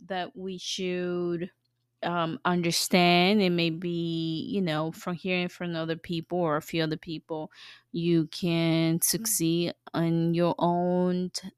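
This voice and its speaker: A woman's voice: on the low side, smooth, dry recording, clean studio sound. Earnest woman podcast host from El Salvador. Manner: earnest, chatty, with frequent fillers and restarts. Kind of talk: casual personal podcast monologue.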